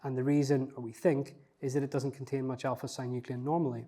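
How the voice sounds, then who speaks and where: A man speaks as an interview guest, thinking aloud in an unassuming, candid way. He often restarts phrases and leans on conversational fillers. His voice sounds warm and relaxed, sitting at an ordinary pitch. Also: quick pace. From not from Newcastle. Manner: reflective, self-effacing, many "you know" fillers and restarts.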